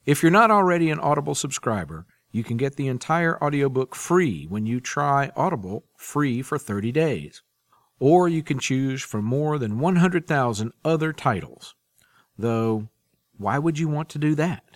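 A man's voice: mid-range voice, lively intonation, normal speed, dry recording. A man hosting a podcast, presenting and interviewing in a prepared, orderly way into a close mic. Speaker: podcast host.

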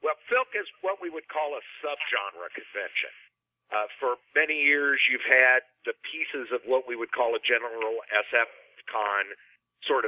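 A man with a mid-pitched voice comes through a narrow telephone line with no room sound. He talks as a podcast guest, explaining things conversationally. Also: dry recording. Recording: narrow, dry phone line.

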